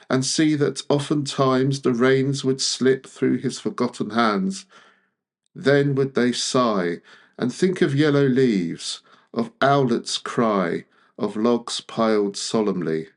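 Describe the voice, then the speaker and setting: A man reading classic verse aloud in a measured, deliberate way, pausing often between phrases. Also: mid-range voice. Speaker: poetry reader. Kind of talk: literary poetry recitation.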